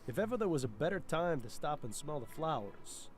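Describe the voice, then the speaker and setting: A man's voice: on the high side, big pitch swings, fairly quick, a little hiss or hum. A man playing a genial, wry middle-aged detective sidekick in an adventure game, florid and teasing in manner. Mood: teasing, droll. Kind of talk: voiced adventure game dialogue.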